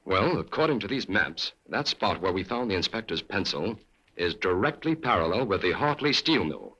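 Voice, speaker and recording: An actor playing a brisk, determined male crime-fighting investigator in a 1940s radio drama. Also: sits low, very animated, sharp tone, breathy edge, highs cut off, full character voice. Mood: explaining. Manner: brisk, urgent, commanding.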